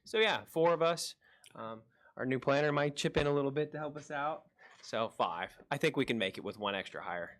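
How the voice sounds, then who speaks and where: A man's voice: medium pitch, lively intonation, brisk, a bit bright. Casual, upbeat male city staffer. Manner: casual, upbeat, a few fillers. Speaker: city department staff head. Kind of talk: answering questions at a council meeting.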